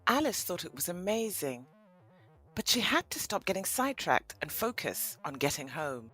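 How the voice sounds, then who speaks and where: A woman's voice: lowish voice, very animated, normal speed, a bit bright, slightly rough. A woman narrating a scripted children's story for an audio play.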